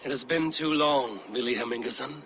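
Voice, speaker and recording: An actor playing a calm, earnest Norse clan leader. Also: male voice, mid-range voice, a little fast, close to an everyday voice, poor audio. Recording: game audio with ambient noise.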